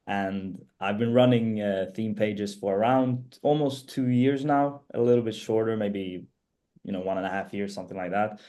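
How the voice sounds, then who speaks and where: A man in his twenties from Sweden, chatting casually as an interview guest, relaxed and rambling with lots of fillers. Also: fairly low pitch, moderate pace. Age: 20s. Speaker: guest, student and page owner.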